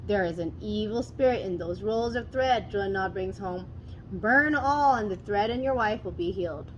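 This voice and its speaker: A woman's voice: mid-range voice, moderate pace, dull audio, close to an everyday voice. Lady doing a fake tree spirit from a folktale, solemn n bossy, slight noise on it. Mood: solemn, commanding. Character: false tree spirit, solemn disguised voice of scheming wife.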